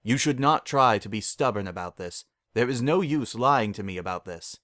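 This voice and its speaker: A man's voice: on the low side, lively intonation, a bit bright, no room sound, studio quality. Man playing a solemn, regal pony princess. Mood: firm, reflective. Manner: formal, measured, archaic courtesy. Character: regal, gentle princess mare of the night, formal, solemn.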